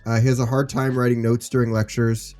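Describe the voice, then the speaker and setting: Guy playing a easygoing male teacher, mellow voice, kinda hesitant n informal, lots of ums, music underneath. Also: mid-range voice, flat delivery, a little fast, lightly acted. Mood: concerned, hesitant. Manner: hesitant, informal, many fillers. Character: concerned, easygoing male high school teacher. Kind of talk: role-played conversation in a scripted case study.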